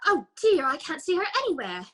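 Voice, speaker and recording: A woman's voice: high-pitched, big pitch swings, fairly quick, fairly bright tone. A woman playing a prim, self-satisfied, dramatic talking flower in a children's readers' theater performance. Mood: concerned.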